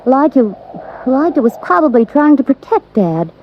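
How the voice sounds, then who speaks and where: Earnest, high-voiced young American woman. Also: big pitch swings, moderate pace. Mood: troubled. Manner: earnest, dramatic. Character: earnest, anxious young woman, loyal and pleading. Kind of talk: 1950s radio drama acting. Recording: muffled, hissy vintage radio recording.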